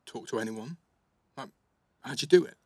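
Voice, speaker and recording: A man's voice: mid-range voice, moderate pace, dry recording, natural voice. A man playing a cheeky, restless teenage schoolboy in care, blunt and slangy, in a naturalistic audio drama. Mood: guarded, wry.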